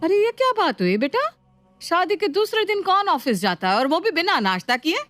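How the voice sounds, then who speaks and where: A woman voicing an exasperated, protective middle-aged mother-in-law who scolds and complains in an animated story. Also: very high-pitched, moderate pace, faint music behind, pitched above the performer's own voice, exaggerated voice. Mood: indignant.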